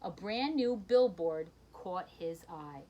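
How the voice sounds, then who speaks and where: A woman reading a children's picture book aloud in an expressive storytelling manner, with brief asides to her listeners. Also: on the low side, slow, background noise.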